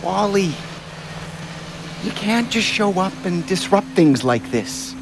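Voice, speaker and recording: An actor playing a harried but caring middle-aged family doctor, brisk and instructive, in an audio play. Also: male voice, high-pitched, lively intonation, noisy background, full character voice. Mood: brisk, stern.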